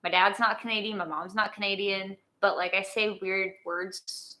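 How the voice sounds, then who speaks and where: Bright, chatty woman rambling casually. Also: mid-range voice, steady tone, moderate pace. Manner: rambling, filler-heavy. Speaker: co-host. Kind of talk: casual chat.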